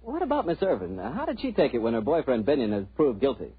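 A man's voice: medium pitch, wildly swooping pitch, quick pace, breathy edge. A man working as a radio host, announcing and making scripted banter in 1940s style. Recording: dull vintage radio recording.

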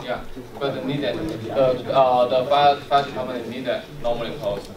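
A man's voice: medium pitch, steady tone, a crowd in the background. Echoey, distant non-native male trainer. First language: not English. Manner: halting, fragmented phrasing in non-native English. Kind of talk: hands-on technical workshop explanation. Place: indoor workshop room with small audience. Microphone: distant camera microphone. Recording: roomy, far from mic, noisy room.

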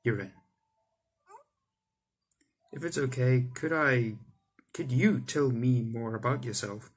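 A man's voice: mid-range voice, slow pace, slightly rough. Young man reading a visual novel aloud. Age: young adult. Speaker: gaming YouTuber. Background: game music.

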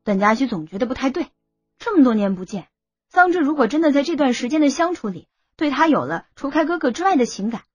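Female audiobook narrator, high-ish and slightly breathy, dry close sound over soft music. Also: big pitch swings, quick pace, dry recording, muffled recording. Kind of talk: scripted novel narration. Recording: music bed under the voice.

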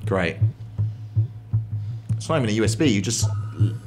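A young man reacting to a video game as host, with casual, animated, sarcastic commentary. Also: fairly low pitch, normal speed. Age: young adult. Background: film music and game dialogue.

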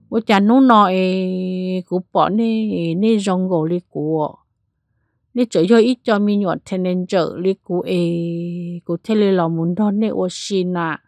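Female storyteller, mid pitch, animated delivery, dry close recording. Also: dry recording. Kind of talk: spoken ghost story narration.

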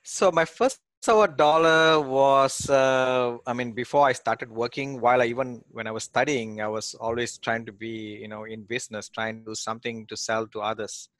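A man's voice: medium pitch, fairly even intonation, normal speed, hardly any pauses, fairly bright tone, no echo. A man in his forties from Malaysia, talking casually as an interview guest over a video call from a home office, with many fillers and restarts. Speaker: guest, real estate investor. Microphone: headset on video call.

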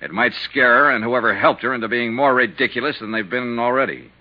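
An American actor playing a brisk, insistent insurance investigator in a 1950s radio detective drama, with a clipped, hard-boiled delivery. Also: male voice, mid-range voice, natural voice. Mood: determined. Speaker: lead actor and narrator. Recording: dull, muffled 1950s radio recording.

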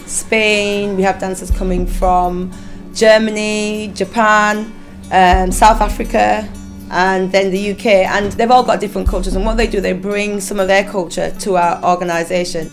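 A woman directing a dance company, explaining the production in a measured way with some fillers. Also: medium pitch, little pitch movement. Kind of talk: behind-the-scenes interview. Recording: music playing under the voice.

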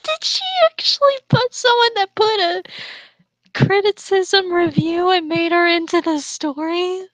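A woman's voice: very high voice, unhurried, a bit bright, dry recording, rough recording. A woman reading fan fiction aloud and commenting on it casually. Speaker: reader and commentator.

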